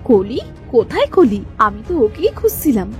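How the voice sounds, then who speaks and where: A responsible village elder sister from a cartoon, played by a woman. Her high voice moves expressively as she frets in a motherly way. Gentle music sits underneath. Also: sing-song, normal speed. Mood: concerned.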